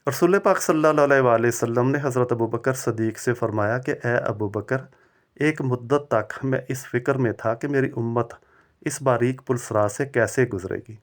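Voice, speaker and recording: A man delivering scripted religious narration as a preacher and storyteller, with formal, measured, reverent diction. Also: medium pitch.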